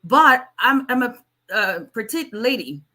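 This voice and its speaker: Strong-voiced woman, bright and forceful. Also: fairly high pitch, big pitch swings, unhurried. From Nigeria. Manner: strong voice, chatty, with many restarts. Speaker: immigration attorney. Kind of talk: casual livestream Q&A.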